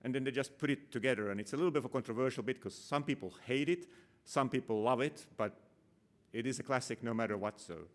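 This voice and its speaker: A man's voice: mid-range voice, fast. Rambling middle-aged man giving a conference talk. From Finland. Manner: casual, rambling, self-deprecating, many fillers and restarts. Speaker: conference speaker, operations manager. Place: conference room.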